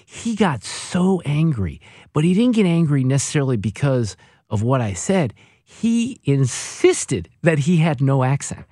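Man, radio host, lively swinging intonation, dry close studio sound, teasing storyteller. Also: on the high side, unhurried, husky, dry recording. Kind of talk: casual on-air chat.

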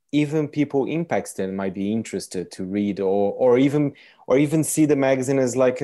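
Mellow-voiced enthusiastic interviewer. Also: mid-range voice. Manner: enthusiastic, halting phrasing. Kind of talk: interview questions.

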